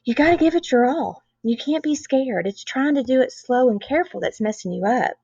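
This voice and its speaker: A woman's voice: high-pitched, animated, fairly quick, dark tone, husky, no echo, voice pushed up, heavily acted. A woman voicing a tough older nun who coaches riding with blunt, urging commands, as in a storybook reading. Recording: dull, lo-fi close recording.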